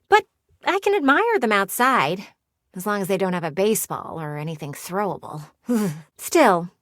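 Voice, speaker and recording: Woman doing a flirty, wistful living window gal for a game, folksy drawl, super swoopy pitch, dry close mic. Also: fairly bright tone, no room sound, close to an everyday voice. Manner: folksy, teasing, theatrical drawl with sighs. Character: talking window, flirty yet wistful, folksy female people-watcher. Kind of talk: video game character voice acting. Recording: close, dry studio recording.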